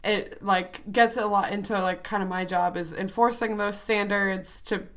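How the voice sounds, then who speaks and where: Young woman co-host, twenties, scratchy hoarse voice, bubbly chatter. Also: mid-range voice, fairly even intonation, normal speed, fairly bright tone. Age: 20s. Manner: bubbly, chatty, laughs often. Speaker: podcast co-host. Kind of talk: casual chat.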